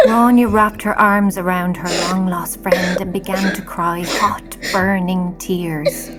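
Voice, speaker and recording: A woman with an Irish accent narrating a children's story from a script in a measured way, hushing at the ends of phrases. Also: on the low side, fairly even intonation. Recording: clear music behind.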